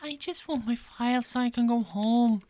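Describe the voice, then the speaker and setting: A woman's voice: a little high, moderate pace, warm tone, natural voice. A woman playing a frazzled but friendly police detective in an ASMR roleplay, with a hesitant, stammering delivery that often repeats words. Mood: pleading, frantic. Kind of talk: ASMR roleplay, one-sided dialogue.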